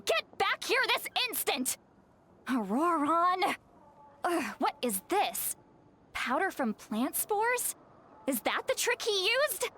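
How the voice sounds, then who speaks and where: A woman's voice: extremely high pitch, sing-song, takes it slowly, bright, forceful tone, slight background noise, heavily acted. A woman playing an exasperated, scolding but caring old granny in a video game, with a dramatic delivery. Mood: furious. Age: older.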